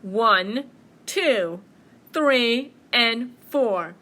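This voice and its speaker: A woman voicing a big, greedy, bossy bear from a storybook, with a booming, blunt way of talking. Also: lively intonation, very slow, pushed and bright, heavily acted. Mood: greedy counting.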